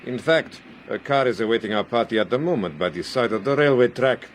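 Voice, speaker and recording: An actor playing a sinister, smooth doctor in a radio drama, speaking with measured, courteous menace. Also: male voice, mid-range voice, animated, natural voice. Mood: coolly polite. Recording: clear background train noise.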